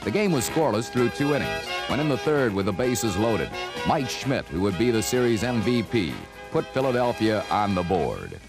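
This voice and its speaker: A man narrates a scripted sports documentary with a dramatic storyteller's delivery. His low voice moves up and down in a lively way, over clearly audible music on an old vinyl record. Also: moderate pace.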